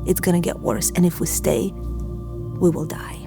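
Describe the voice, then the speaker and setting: A woman who grew up in Azerbaijan and Russia gives a personal testimony. Her voice sits fairly low with noticeable vocal fry, and she speaks in a reflective, deliberate way over soft background music. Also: steady tone. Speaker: church member giving testimony.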